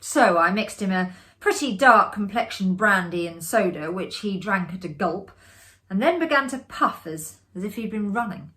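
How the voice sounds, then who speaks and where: A woman giving a measured, dramatic performed reading of a classic ghost story, with expressive pauses. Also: mid-range voice.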